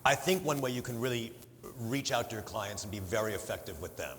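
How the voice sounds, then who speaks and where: A man with a big voice speaking on a conference panel, lively and jokey, acting out little examples. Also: medium pitch, brisk. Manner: lively, jokey, talks in asides and acted examples. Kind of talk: panel discussion. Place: large conference hall. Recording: conference hall reverb with faint room noise.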